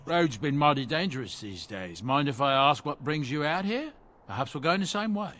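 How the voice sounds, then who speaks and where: A man's voice: a little high, animated, normal speed, fairly bright tone. An actor playing a jovial, talkative dwarf merchant with folksy salesman patter in a video game. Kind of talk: video game cutscene dialogue.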